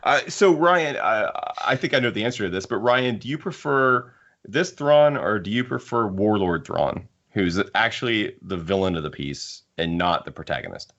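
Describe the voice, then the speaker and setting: A man's voice: lowish voice, animated, normal speed, fairly bright tone. A man hosting a casual panel discussion about books, talking in an emphatic, opinionated way. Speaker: podcast host. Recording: muffled lo-fi audio.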